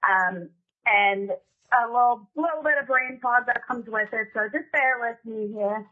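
Hoarse woman testifying over a phone line. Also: on the high side. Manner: polite, a little halting, with many "um"s. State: slightly hoarse from the flu. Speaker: board appointee testifying. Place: calling in remotely.

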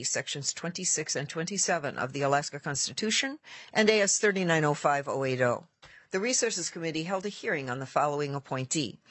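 A committee chair running a formal legislative hearing, reading out a report and moving through procedure briskly with filler pauses. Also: medium pitch, a bit bright, no echo.

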